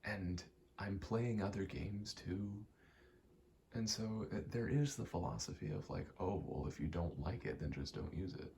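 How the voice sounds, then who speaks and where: Deep, gravelly soft-spoken man rambling. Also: moderate pace, slight background noise. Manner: hesitant, rambling, self-deprecating. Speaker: video game ASMR creator.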